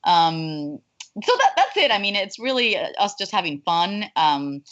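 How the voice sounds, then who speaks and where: A young woman from Colombia chats with animated, bubbly energy and plenty of fillers. Her voice sits a bit low, and the call audio sounds dull and lo-fi. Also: unhurried. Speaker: guest voice actor. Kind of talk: casual interview chat.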